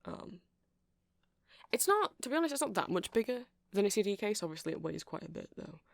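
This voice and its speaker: A performer playing a friendly, nerdy vampire music shop keeper in an ASMR roleplay, rambling softly and apologetically to a customer with hesitant, casual speech. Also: medium pitch, big pitch swings, fairly quick, creaky, no room sound, clean studio sound. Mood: nerdy, explaining. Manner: soft, rambling, hesitant, self-deprecating. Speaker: store clerk.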